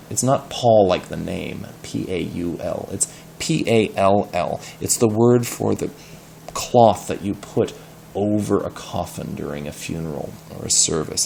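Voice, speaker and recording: A man reading a story aloud expressively, pausing to explain words and ask questions in a patient, teacherly way. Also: low voice, gravelly, darkish tone, a little hiss or hum.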